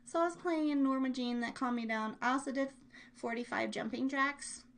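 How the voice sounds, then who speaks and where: A woman's voice: high voice, normal speed. A woman hosting a live online show, chatting casually in a bubbly, playful, scattered way. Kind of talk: casual rambling livestream chat.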